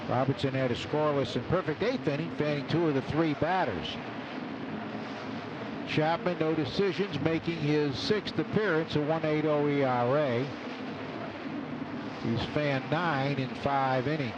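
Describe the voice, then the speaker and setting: A man's voice: on the high side, unhurried, highs cut off. A man doing live baseball play-by-play on the radio, in clipped phrases with statistical asides. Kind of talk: live sports commentary. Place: ballpark broadcast booth. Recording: live radio, loud stadium background noise. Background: clear ballpark ambience noise.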